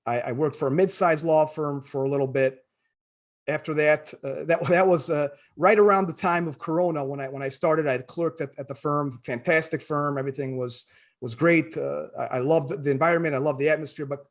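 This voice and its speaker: Higher-pitched American man, halting interview talk. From Chicago area, born in former Yugoslavia. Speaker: podcast guest, attorney. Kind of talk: conversational interview.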